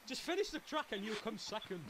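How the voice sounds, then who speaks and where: A young man hosting a multiplayer game race, excitedly teasing his friends and calling out times and countdowns. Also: high-pitched, brisk, a loud crowd, rough recording. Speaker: channel host running the race. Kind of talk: casual multiplayer game chat. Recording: loud background noise over voice chat.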